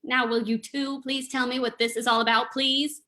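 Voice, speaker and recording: A woman playing a sleuth's brisk, wry and inquisitive lady companion in an old-time radio drama. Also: fairly high pitch, little pitch movement, pushed and bright. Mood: puzzled.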